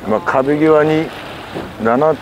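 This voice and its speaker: A man's voice: fairly high pitch, extremely slow, gravelly. A man giving casual fishing commentary, muttering reactions and explaining informally as host. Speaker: fishing show host and angler. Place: open boat at night, outdoors. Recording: noisy outdoor boat recording, wind. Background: boat and wind noise.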